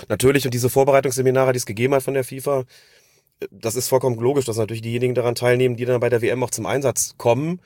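A man's voice: medium pitch, flat delivery, rapid-fire, a little mellow, no echo, clean studio sound. A man with a slightly congested voice from a cold, giving casual, wordy, considered football commentary on a podcast. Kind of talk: casual analytical podcast talk.

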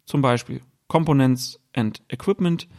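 A man's voice: medium pitch, a little slow, a little mellow. A male podcast host leading a casual chat with a jokey, teasing manner.

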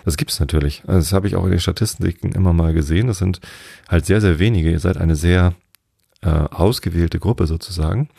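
A man in his forties hosting a bedtime podcast, chatting slowly and rambling with many hesitations. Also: low voice, creaky, warm tone, slightly rough, dry recording, studio quality. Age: 40s. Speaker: podcast host. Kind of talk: calm bedtime podcast chat.

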